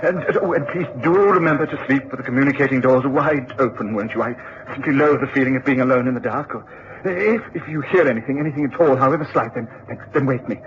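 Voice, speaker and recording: An actor in a radio drama playing an anxious, pleading English lord with a nervous, halting delivery. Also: male voice, medium pitch, lightly acted. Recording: muffled old radio recording with hiss.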